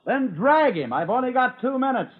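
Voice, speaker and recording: A detective shouting orders in a 1940s radio drama. His male voice is strained very high, rough and swooping. The recording is dull and old. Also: very animated, normal speed, full character voice. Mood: urgent. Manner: shouted, commanding.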